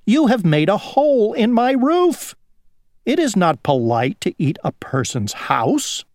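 A reader voicing a fussy, panicky little worm in a high, squeaky voice for a children's storybook. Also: very animated, measured pace, darkish tone, dry recording, studio quality. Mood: indignant. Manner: fussy, exclaiming.

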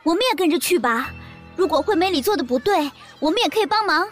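A woman's voice: very high voice, animated, fast talker, faint music behind. A woman playing an eager, confident little schoolgirl with a childlike manner in an audio drama.

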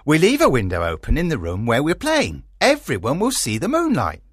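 A man's voice: fairly high pitch, huge pitch swings, fairly quick, slightly rough, no echo, clean studio sound, voice pushed up, exaggerated voice. A man voicing a bossy, self-important amateur actor in a storybook, eager and emphatic. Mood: confident.

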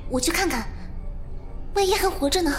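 A woman acting a kind, brave young survivor in a zombie apocalypse audio drama, earnest and expressive. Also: very high voice, brisk, over music. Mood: determined.

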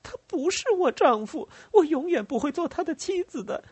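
A man's voice: very high-pitched, animated, normal speed, darkish tone, dry recording, pitched above the performer's own voice. A man voicing a distraught young captive woman in a story, defiant and desperate, speaking with emphatic pleading.

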